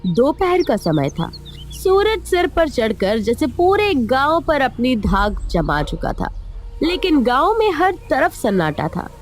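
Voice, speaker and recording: A woman narrates a cartoon moral tale from a script. Her voice sits on the high side and moves with lots of melodic swing. There is light music in the background. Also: very animated, measured pace. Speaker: storyteller, narrator.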